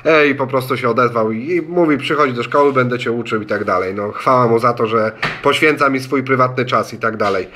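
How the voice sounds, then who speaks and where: A man's voice: medium pitch, a little fast, hardly any pauses, pushed and bright, slight background noise. A man in a radio club room, talking on an amateur radio contact in a friendly, hesitant way and spelling call signs. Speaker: ham radio student operator. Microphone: phone recording in the room.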